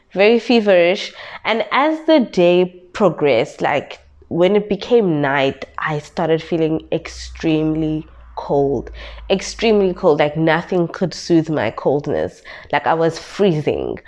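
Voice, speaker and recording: A woman's voice: on the low side, darkish tone, muffled recording. Congested young woman from South Africa. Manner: repetitive, emphatic retelling. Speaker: vlogger. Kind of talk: casual vlog storytelling.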